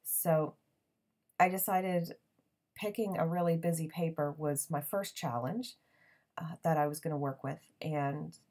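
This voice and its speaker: A woman in her thirties hosting a crafting channel, chatting casually in a voiceover with a raspy morning voice, full of ums and little laughs. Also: low voice, mellow.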